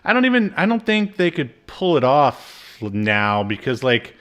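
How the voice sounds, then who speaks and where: A man's voice: mid-range voice, big pitch swings, measured pace, fairly bright tone. A man co-hosting a podcast, giving an informal, joking review in casual conversation. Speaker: podcast co-host.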